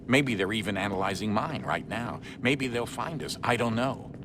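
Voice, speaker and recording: An actor playing a thoughtful, matter-of-fact team member in a video game, explaining things frankly in conversation. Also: male voice, on the low side, animated, normal speed, noisy background, natural voice. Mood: explanatory, concerned.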